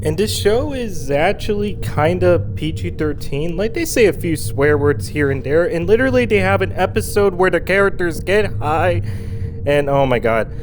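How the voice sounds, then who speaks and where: A young man reviewing a show in a casual, excitable and rambling way, his voice going hoarse. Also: sits high, moderate pace, noisy background.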